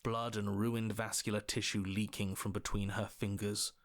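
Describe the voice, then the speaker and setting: A man playing the ominous, sardonic narrator of a horror visual novel game, speaking in a deliberate, literary and dry way. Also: fairly low pitch, flat delivery, slight background noise, natural voice. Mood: grave, ominous. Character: male narrator, stern, ominous, sardonic and exasperated storyteller. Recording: loud game music underneath. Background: game music.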